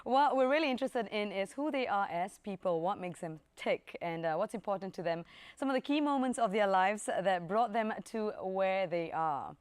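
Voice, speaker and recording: A woman's voice: medium pitch, animated, moderate pace, clear, resonant tone, dry recording. Woman hosting an interview show. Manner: friendly, encouraging, many short backchannels. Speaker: host. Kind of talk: interview host.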